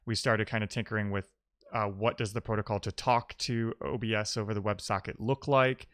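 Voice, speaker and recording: A man's voice: lowish voice, steady tone, a little fast, fairly bright tone, no room sound, clean studio sound. A man hosting a live-coding stream, talking casually and thinking aloud as he works and answers his chat. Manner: informal, reading and answering chat messages aloud. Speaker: live-streaming programmer, host.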